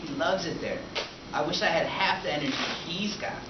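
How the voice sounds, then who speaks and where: A man's voice: fairly high pitch, a little fast, close to an everyday voice. A man voicing a weary, down-on-his-luck working-class father in a plain, downbeat storybook read-aloud. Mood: weary, wistful. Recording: distant echoey room, noisy, dull.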